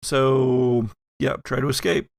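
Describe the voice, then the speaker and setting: A man narrating a tabletop horror game as game master, with halting, descriptive storytelling. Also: medium pitch, level tone, gravelly, dry recording.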